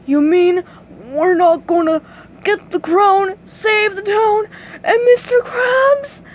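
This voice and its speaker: A woman's voice: extremely high pitch, takes it slowly, a little hiss or hum, voice pushed up. A woman voicing a simple, easygoing male cartoon starfish sidekick with a naive, goofy manner. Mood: weak, hopeful.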